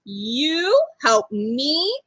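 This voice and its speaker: A woman voicing a great gruff lion in a storybook, scornful and amused. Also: sits high, very animated, very slow, a bit bright, dry recording, heavily acted, low-quality recording. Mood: scornful amusement. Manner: roaring, laughing.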